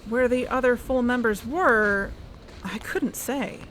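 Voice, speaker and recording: An actress playing an earnest, uneasy young woman investigator, narrating her own story in a measured, confessional way for an audio drama. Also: on the high side, a bit bright, a little hiss or hum, rough recording. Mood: tense, apprehensive. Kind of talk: scripted first-person audio drama narration.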